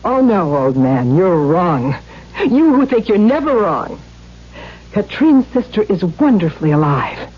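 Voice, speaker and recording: A woman's voice: mid-range voice, very animated, unhurried, breathy edge. An actress playing a defiant, bitter tattooed carnival woman in a theatrical, mocking way in a 1970s radio drama. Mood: bitter taunting. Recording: dull noisy 1970s radio recording with hum.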